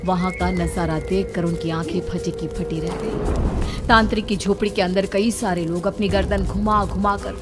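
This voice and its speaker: A woman's voice: on the low side, steady tone, normal speed, over music. A woman delivering scripted horror story narration as a narrator.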